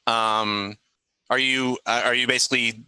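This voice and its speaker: A man acting as game master, improvising narration for a tabletop game in an informal, halting way. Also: mid-range voice, steady tone, pushed and bright, smooth, dry recording.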